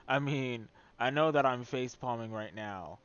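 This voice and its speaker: A man's voice: medium pitch, slow pace, clear, resonant tone, dull audio. A man streaming a video game with casual, sarcastic, teasing asides at the story. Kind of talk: casual live commentary over gameplay.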